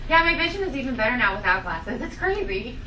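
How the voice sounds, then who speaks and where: A woman's voice: a little high, very animated, fast talker. A woman playing a shy, awkward, stoned college student with new powers, at a comedy script table read. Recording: echoey room, distant mic, clear noise.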